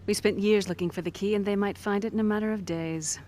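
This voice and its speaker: An actress playing a smug, coolly amused, scheming villainess in an animated video game. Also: female voice, medium pitch, fast talker, a little hiss or hum, natural voice.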